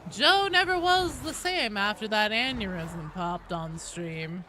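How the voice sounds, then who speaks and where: Female game streamer, lively swinging intonation, profane joking slangy chat, clear ambient noise behind. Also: medium pitch, takes it slowly, poor audio. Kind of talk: casual live-stream chat while playing a game.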